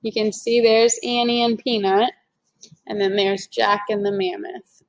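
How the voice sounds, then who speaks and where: A woman's voice: mid-range voice, flat delivery, measured pace. A woman reading a children's story aloud expressively, as if to a young class.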